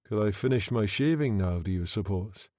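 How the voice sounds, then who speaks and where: A man voicing a calm, quietly menacing Irish gentleman who speaks in a soft, conversational tone. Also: on the low side, moderate pace, no room sound, studio quality, close to an everyday voice. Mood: quiet menace.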